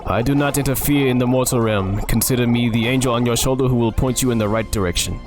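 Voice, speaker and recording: A man's voice: mid-range voice, steady tone, fast talker, music in the background, lightly acted. A man voicing a formal, grand-speaking male Cupid who guards love, reading a dating game aloud. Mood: lofty. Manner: grand, old-fashioned formal speech.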